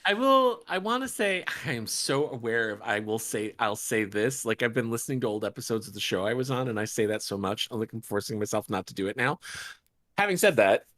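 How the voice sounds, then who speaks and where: An American man talks casually among friends. His voice sits at a middle pitch and moves around a lot, with wry asides. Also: animated, a little fast, fairly bright tone. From upstate New York, United States. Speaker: podcast panelist reading stage directions. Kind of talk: casual group chat.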